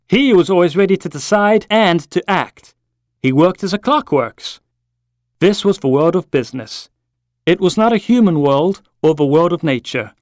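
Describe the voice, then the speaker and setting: A man reads a short story as scripted narration, in a fairly high voice that moves around a lot. His delivery is measured and expressive, and the sound is close and dry. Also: no echo. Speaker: story reader.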